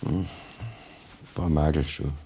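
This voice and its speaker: A man playing a roguish, boastful young outlaw on the run in a Bavarian-dialect radio play, with a husky voice. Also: a bass voice, fairly even intonation, unhurried, mellow, slight background noise. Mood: boastful, secretive. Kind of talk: 1970s German radio play acting in dialect.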